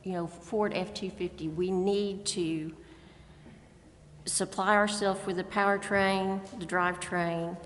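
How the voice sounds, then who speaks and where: A woman's voice: medium pitch, fairly even intonation, slow pace, slight background noise. An American woman making plain-spoken, folksy remarks as a council member at a public meeting. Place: council chamber. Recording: reverberant meeting room.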